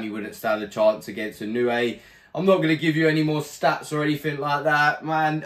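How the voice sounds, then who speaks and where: A hoarse young man hosting a boxing channel, giving a blunt, animated fight preview to camera from a small home room, swearing freely. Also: mid-range voice. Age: young adult.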